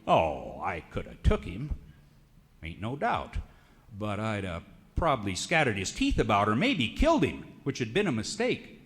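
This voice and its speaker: A man recites humorous cowboy verse with an American West accent and a folksy drawl. His voice sits a little low and swings widely for theatrical emphasis. The sound rings with long hall reverb. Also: huge pitch swings, moderate pace, a bit bright, husky. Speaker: cowboy poet. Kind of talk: comic narrative poetry recitation. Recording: reverberant hall recording of a radio show.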